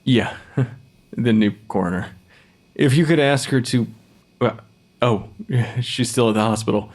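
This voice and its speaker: A man acting in an audio drama as a sharp, secretive forensic pathologist, precise when explaining and awkward under pressure. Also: medium pitch, a little slow, natural voice. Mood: businesslike. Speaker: actor in an audio fiction podcast. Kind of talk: full-cast audio drama dialogue.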